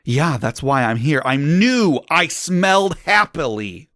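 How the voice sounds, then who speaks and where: A man's voice: medium pitch, measured pace, a bit bright, dry recording, clean studio sound, pitched above the performer's own voice. A man voicing a moody, secretive teenage goth boy in a story reading, earnest and slangy. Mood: sullen, earnest.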